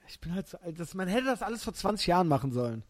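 A German man in his thirties hosting a podcast, chatting casually and telling animated, slangy stories with frequent laughter. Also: sits high, very fast. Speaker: podcast host. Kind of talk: casual chat.

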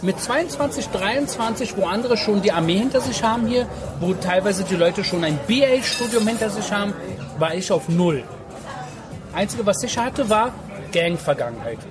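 A man's voice: high voice, expressive, normal speed. A man, telling his own story casually in slangy, emphatic speech, repeating phrases for stress. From Berlin, Germany. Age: 40s. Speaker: interview guest. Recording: music and crowd noise behind.

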